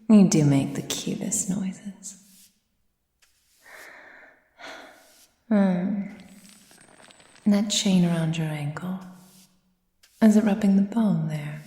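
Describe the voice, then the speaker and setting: A woman playing a possessive, condescending vampire mistress in an intimate ASMR roleplay, speaking formally and measuredly and often slipping into breathy whispers. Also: on the low side, mellow. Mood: teasing menace. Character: possessive, condescending female vampire mistress tending her captive thrall. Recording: echoey room with long reverb.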